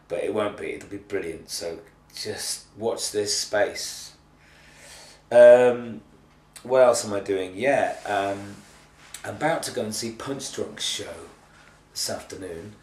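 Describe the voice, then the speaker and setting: A tired man with a cold and a slightly croaky voice, chatting hesitantly and self-deprecatingly in an unscripted video blog. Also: on the low side, slow, a little mellow. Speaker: theatre artistic director giving a monthly video update. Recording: echoey, distant mic, faint noise.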